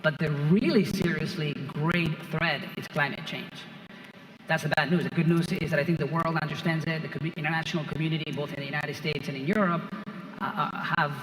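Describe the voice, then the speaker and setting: A man moderating a conference panel, posing deliberate rhetorical questions. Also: on the high side, steady tone, a bit bright. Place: large conference hall. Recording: very echoey large hall.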